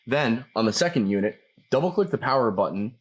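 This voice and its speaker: A man's voice: medium pitch, lively intonation, a little fast, breathy edge. A man narrating a scripted product tutorial, explaining each step plainly as a demonstrator.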